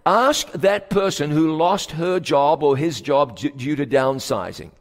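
A man preaching a sermon as pastor, stretching key words for emphasis and dropping to a hushed tone at solemn moments. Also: on the high side, unhurried. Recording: long hall reverb.

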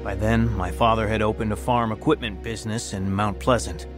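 A man's voice: fairly low pitch, fairly even intonation, fairly quick, over music, close to an everyday voice. A man acting as a bitter, hot-tempered working-class mechanic telling his life story in a radio drama, blunt and combative. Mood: grave, reflective.

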